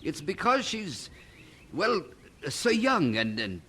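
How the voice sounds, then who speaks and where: An actor playing a mild, conciliatory Scottish lord in a radio drama. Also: male voice, sits high, very animated, slow pace, bright, forceful tone, slightly rough, full character voice. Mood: defensive.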